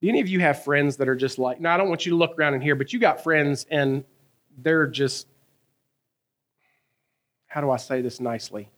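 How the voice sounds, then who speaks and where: A man, preaching a sermon in a conversational, animated way, asking his listeners questions and telling stories from his own life. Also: on the high side, fairly quick, pauses often. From American South. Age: 30s. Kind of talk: sermon with personal storytelling.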